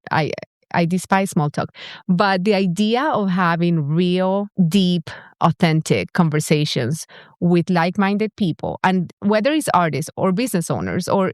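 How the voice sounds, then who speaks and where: Venezuelan woman cohosting a podcast, candid and excited, dry close sound. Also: fairly low pitch, dry recording. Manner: candid, enthusiastic, talks in quick restarts. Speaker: podcast co-host. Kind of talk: casual podcast conversation.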